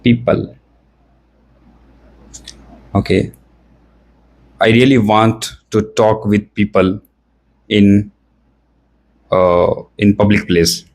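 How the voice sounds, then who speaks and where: Young Indian male learner, Indian English accent, halting, filler-heavy delivery, lower register. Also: little pitch movement, low-quality recording. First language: Hindi or another Indian language. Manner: hesitant, searching for words, many fillers. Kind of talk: casual practice conversation.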